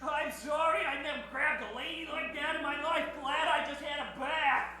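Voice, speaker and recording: Guy doing a high-voiced folksy cowpoke in a radio skit, easily startled, lots of echo. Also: steady tone, normal speed, pushed and bright, a little hiss or hum, exaggerated voice. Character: folksy old-West cowboy Mister Tumbleweed, bashful, easily startled. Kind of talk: improvised old-time radio comedy acting. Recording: echoey stage hall.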